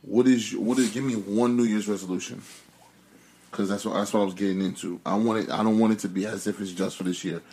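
A young man chatting casually in a relaxed, teasing way. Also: lowish voice, fast talker, poor audio. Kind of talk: casual chat.

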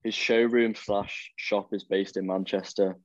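A man's voice: fairly low pitch, little pitch movement, a little slow, no echo. A young man, chatting as a podcast guest and rambling through plenty of fillers and restarts. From the Wirral, England. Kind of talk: casual chat. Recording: dull lo-fi, dry.

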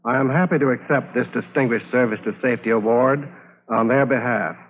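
An American man with a mid-pitched voice reads a prepared, formal speech. The sound is that of a 1950s radio broadcast, tinny and narrow in band. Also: fairly quick, slight background noise. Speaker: company manager accepting an award.

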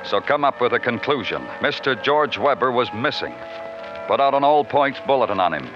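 An actor playing a terse, brooding big-city police detective in a 1950s radio crime drama, clipped and deadpan. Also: male voice, medium pitch, moderate pace, lightly acted. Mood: brisk. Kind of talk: 1950s radio crime drama, hard-boiled narration and dialogue. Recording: dull old radio recording with music.

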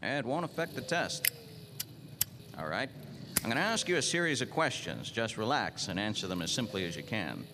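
A man's voice: on the low side, big pitch swings, normal speed, background noise. An actor playing a world-weary, cynical hard-boiled detective in the style of 1940s radio noir, with terse, wry tough-guy talk. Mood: businesslike. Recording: live radio drama with music underneath.

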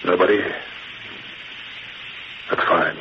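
An actor playing a smug, menacing gang boss in 1940s radio style, falsely jovial with oily mock innocence. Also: male voice, takes it slowly, lightly acted. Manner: oily mock innocence, tough gangster slang. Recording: noisy muffled old radio recording.

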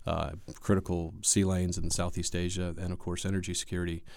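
A man speaking as an expert guest in an interview, explaining in a measured, conversational way. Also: low-pitched, little pitch movement, creaky, a little mellow, dry recording.

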